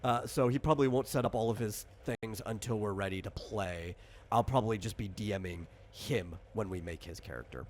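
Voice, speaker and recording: A man's voice: on the low side, moderate pace, a little mellow. A man hosting and explaining a game in casual chat, thinking aloud in a rambling way. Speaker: game designer and host.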